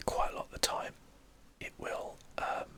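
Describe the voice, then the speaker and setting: A man whispers breathily in casual, rambling ASMR commentary while playing a video game. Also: normal speed.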